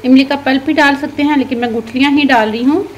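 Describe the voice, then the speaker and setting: A woman's voice: high voice, fairly even intonation, quick pace, a little hiss or hum. A woman narrating a home cooking recipe as a channel host, instructive and sprinkling in religious blessings. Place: home kitchen.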